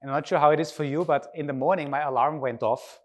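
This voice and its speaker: A man's voice: a little high, fairly even intonation, quick pace. A man from Austria with an Austrian accent and native German, speaking informally as he gives a conference talk and engages his audience with questions.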